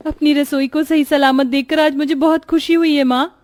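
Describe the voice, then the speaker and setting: A woman's voice: high-pitched, fairly even intonation, moderate pace, darkish tone, no echo, lightly acted. A woman voicing an earnest, sweet poor village girl in a cartoon story. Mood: happy, earnest. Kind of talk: Hindi cartoon dialogue.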